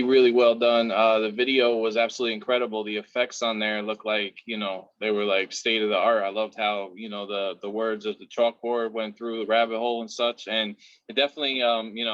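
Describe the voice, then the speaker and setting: A man with a lowish, flat voice gives eager, casual praise. The call audio is dry and dull. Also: a little fast, dry recording. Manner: enthusiastic, casual slang. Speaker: fellow guest.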